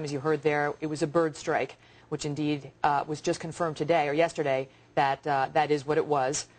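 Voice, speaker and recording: Female news anchor, American, slightly low register, emphatic delivery for live breaking-news coverage.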